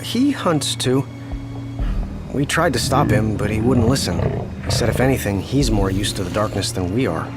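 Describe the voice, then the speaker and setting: A man's voice: fairly low pitch, animated, moderate pace, natural voice. An actor playing an earnest young man, chatty and deferential toward his king, in a video game cutscene. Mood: sober. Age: young adult. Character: earnest, respectful young driver loyal to a returning king. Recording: music under studio dialogue. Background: game music.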